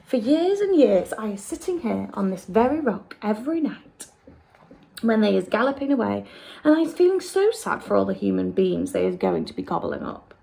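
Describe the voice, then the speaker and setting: A woman's voice: medium pitch, very animated, normal speed, gravelly, mellow, slightly rough, heavily acted, rough recording. A woman voicing a big, kindly male giant who talks in mangled grammar and made-up words. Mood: earnest. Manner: ungrammatical, invented words.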